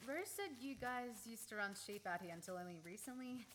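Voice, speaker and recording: A woman's voice: mid-range voice, expressive, a little fast, a bit bright, close to an everyday voice. An actress playing an impulsive, playful young woman in a radio play recorded live. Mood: curious, warm. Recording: live recording with clear background noise.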